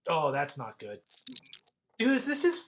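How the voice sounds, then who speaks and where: An actor playing an excitable, slangy young male sidekick with a husky voice in a film. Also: fairly high pitch, unhurried, slight background noise, full character voice. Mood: curious. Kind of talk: low-budget film acting.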